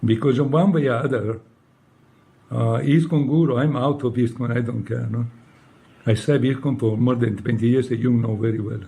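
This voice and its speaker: A man with an Italian accent, speaking halting English as a second language, making a direct, reproachful appeal to an old acquaintance and ending his points with questioning tags. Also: medium pitch, fairly even intonation, vocal fry, mellow. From Italy. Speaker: former devotee addressing a leader. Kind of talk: direct personal appeal to camera.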